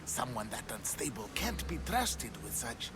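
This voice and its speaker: Man acting a lively, secretive cult schemer. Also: medium pitch, normal speed, over loud music, lightly acted. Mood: conspiratorial. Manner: secretive, insinuating, dropping into whispers. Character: scheming, conspiratorial male cult member. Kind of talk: dramatic video game acting. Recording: loud ambient noise behind voice.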